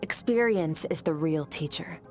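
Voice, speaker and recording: Lady playing stoic sword teacher in a game, lowish voice, cool and aloof, music behind. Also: natural voice. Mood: cool, instructive. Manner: cool, detached, measured. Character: aloof, stoic woman warrior mentor, unsentimental. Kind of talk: video game voice acting.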